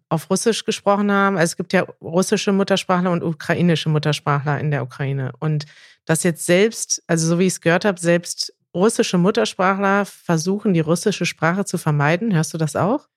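A woman's voice: lowish voice, normal speed, no echo, clean studio sound. Casual young woman host from Germany. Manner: casual, conversational, frank. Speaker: podcast host. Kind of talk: podcast interview.

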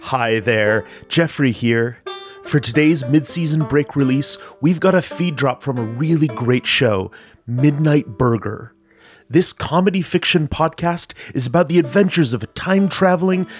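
Conversational male podcast host over light music. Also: medium pitch. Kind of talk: casual scripted introduction. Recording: music behind.

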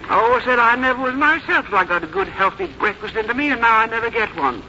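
A woman's voice: a little high, animated, quick pace, pushed and bright. An actress playing a stubborn, plain-spoken elderly American mother with a blunt, folksy, complaining manner in a 1950s radio drama. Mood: grumbling, stubborn. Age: older. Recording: noisy, muffled 1951 radio recording.

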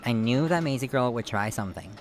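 A calculating female mentor, coaxing and controlled. Her voice is strikingly low but swings widely in pitch, in an audio drama with some noise and ambience behind it. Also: animated, fast talker. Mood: scheming. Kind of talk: scripted audio drama acting. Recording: clear background noise and ambience.

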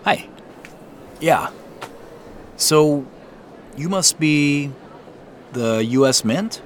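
An actor as a wry man narrating, lively pitch. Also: extremely slow, a little hiss or hum. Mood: casual, wry. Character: weary, dryly joking man narrating his own covert quest. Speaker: narrator and lead character. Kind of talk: first-person audio drama narration and dialogue.

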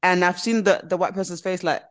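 A woman's voice: lowish voice, fairly even intonation, a little fast. A young woman in casual podcast chat, talking with lots of "like" fillers and acting out what people say. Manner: chatty, many "like" fillers, acts out quoted people.

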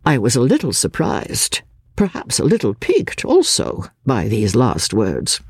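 A woman voicing an elderly gentleman lawyer who narrates a classic novel in a formal, deliberate, self-assured manner. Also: very deep voice, wildly swooping pitch, slightly rough, heavily acted. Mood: measured, piqued. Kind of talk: first-person literary narration.

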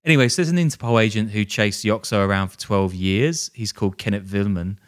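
A man's voice: fairly low pitch, brisk, clean tone. A man hosting a podcast, telling a crime story in a jokey, self-deprecating way and laughing as he goes. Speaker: podcast host. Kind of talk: casual storytelling chat.

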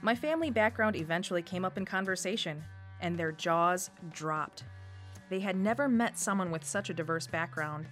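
A woman's voice: fairly low pitch, animated, normal speed, fairly bright tone. A woman in her thirties from Wisconsin with a Wisconsin accent, reading a personal story for radio in a reflective way. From Wisconsin, USA. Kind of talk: scripted first-person narration. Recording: faint music behind voice.

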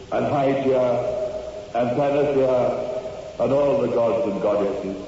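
An actor playing a solemn physician reciting an oath in a measured, ceremonial way for a radio drama. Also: male voice, medium pitch, mellow, slight background noise, close to an everyday voice. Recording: cavernous reverb, distant, muffled old radio.

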